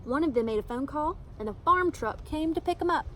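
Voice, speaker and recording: High, lively woman reading a children's storybook. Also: brisk, a little hiss or hum. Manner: animated, emphatic storytelling with acted dialogue. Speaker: storybook reader. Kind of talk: expressive read-aloud of a children's picture book.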